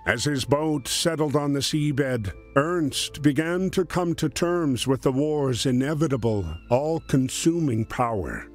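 Game narrator, male actor as grave wartime storyteller, unhurried and measured, music underneath. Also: fairly high pitch, a little mellow, close to an everyday voice. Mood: solemn, measured. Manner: measured, solemn. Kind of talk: scripted storytelling narration of a war history game. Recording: music behind the voice.

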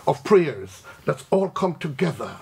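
A man's voice: on the high side, sing-song, measured pace, creaky, darkish tone, slightly rough. An older Guyanese man, a religious community representative, speaking in florid, effusive terms on a TV studio panel.

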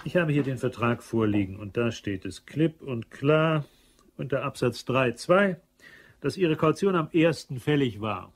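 A man's voice: medium pitch, animated, measured pace. A man playing an irritable, stressed business manager in an audio crime drama. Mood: curt, irritated. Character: irritable, stressed male business manager, fretting over his mother. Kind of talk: German radio play dialogue.

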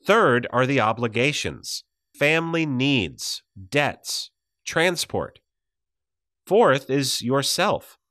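A man narrates a devotional audiobook at an unhurried pace, enunciating with care. His pitch moves in lively swings across phrases. The sound is dry and close, free of room reflections. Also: dry recording. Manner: measured, deliberate enunciation.

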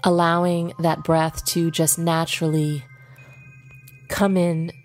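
A woman leading a guided meditation in an unhurried way, pausing between short phrases. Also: low voice, little pitch movement, faint music behind.

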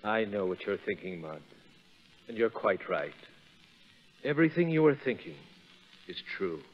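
An actor playing an earnest, concerned army major speaking in a measured way in a 1930s radio drama. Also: male voice, lowish voice, big pitch swings. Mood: grave, pleading. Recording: muffled 1930s radio broadcast.

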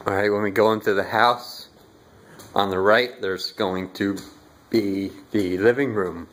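A young man giving a casual walking tour of his house, relaxed and halting with lots of fillers, recorded on a handheld phone indoors. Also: on the low side, slight background noise. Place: inside a house, walking room to room. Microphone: handheld phone camera, filming rooms.

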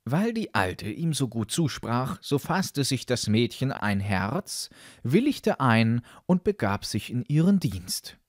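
A male storyteller reads a fairytale in a dry recording. His delivery is unhurried and deliberate, with a lively, expressive melody. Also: mid-range voice, no room sound. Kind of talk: fairytale audiobook narration.

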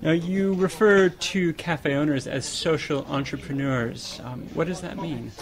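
A man's voice: fairly high pitch, measured pace. A man hosting a podcast, asking questions with some hesitant fillers. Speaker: podcast host interviewer. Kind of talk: interview questions.

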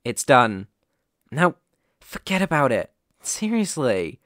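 A man's voice: medium pitch, very animated, slow, a bit bright, dry recording, studio quality. A man playing a caring, worried boyfriend in an ASMR roleplay, talking in a soothing, tender, reassuring way. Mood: firm, caring. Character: caring, worried boyfriend fussing tenderly over sick partner.

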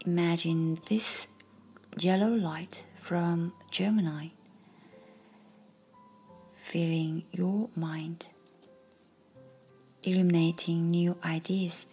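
Slow whispering meditation guide woman. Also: on the low side, steady tone, husky. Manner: slow soft whispering delivery. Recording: faint music behind.

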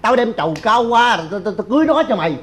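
A man's voice: very high-pitched, very animated, fast talker, fairly bright tone, full character voice. A man playing a flustered, protective, hot-tempered middle-aged father-in-law in a stage comedy, stammering and repeating words in a southern Vietnamese accent. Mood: grumbling. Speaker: comic stage actor. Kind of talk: broad stage comedy acting.

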